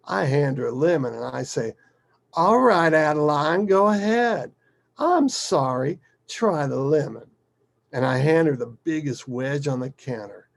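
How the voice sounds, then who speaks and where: Man acting out animated, gravelly, foul-mouthed dad. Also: on the high side, pitched above the performer's own voice. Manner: emphatic, colloquial, swearing storyteller. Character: rough, foul-mouthed, animated father reminiscing fondly about his daughter. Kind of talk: dramatic acted monologue within a story.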